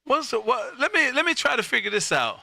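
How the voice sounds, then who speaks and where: A man hosting an amateur radio livestream, chatting casually with a loud, folksy showman's manner. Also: very high-pitched, big pitch swings, brisk, creaky, heavy background noise. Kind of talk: casual radio contact chat. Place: home radio room.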